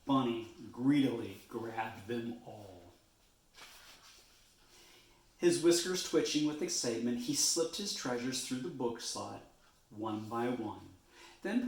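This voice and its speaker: A man reading a children's storybook aloud in an expressive way, stretching words for emphasis. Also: mid-range voice, reverberant, poor audio. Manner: expressive, drawn-out phrasing with emphasis.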